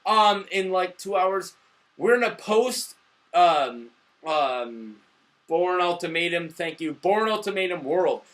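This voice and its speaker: Drunk, high-voiced man rambling excitedly. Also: slow. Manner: excitable, rambling, emphatic, swears freely. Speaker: movie review host. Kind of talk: casual profane movie chat.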